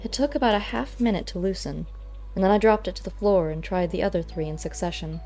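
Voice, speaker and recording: A woman reading a first-person story aloud as an audiobook narrator, measured and expressive. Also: medium pitch. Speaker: audiobook reader. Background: faint music.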